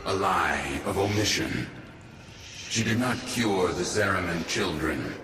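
An actor playing a stern, grave old warrior mentor in a video game, speaking in solemn, cryptic, foreboding lines. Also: male voice, sits low, slow pace, over music. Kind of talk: scripted video game dialogue. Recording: echoey, distant, with noisy ambience.

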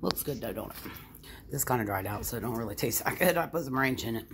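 A woman's voice: extremely low pitch, animated, fast talker, noisy background. A middle-aged woman, chatting casually to her viewers while cooking in her home kitchen. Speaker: vlogger. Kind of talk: casual home vlog narration. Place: small home kitchen, indoors. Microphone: handheld phone camera.

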